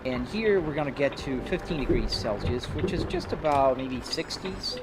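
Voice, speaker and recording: A man's voice: mid-range voice, animated, normal speed, over loud music. Chatty Brazilian man streaming a drive. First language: Portuguese. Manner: chatty, greets viewers by name, laughs easily. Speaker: live streamer. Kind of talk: casual live stream chat while driving a sim. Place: home sim rig.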